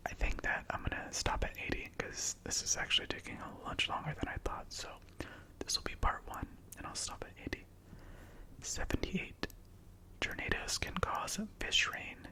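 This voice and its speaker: A man whose first language is Spanish, whispering numbered facts ASMR-style with casual chatty asides. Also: moderate pace. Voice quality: soft unvoiced whisper. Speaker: ASMR host. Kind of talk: ASMR whispered fact reading.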